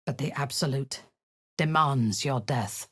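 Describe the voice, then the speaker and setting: An actress playing a cold, imperious female drow warlord in a video game, commanding and menacing, sometimes dropping to a husky whisper. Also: extremely low pitch, a little slow, fairly bright tone, dry recording, studio quality, heavily acted.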